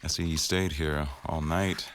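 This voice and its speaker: Guy doing a super deep bossy office manager, dry teasing, kinda formal, boyfriend audio roleplay. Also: fairly even intonation, a little slow, fairly bright tone, a little hiss or hum. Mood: wry, teasing. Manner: wry, formal, dryly teasing. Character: stern, teasing male office boss, protective of employee. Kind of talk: boyfriend ASMR roleplay acting.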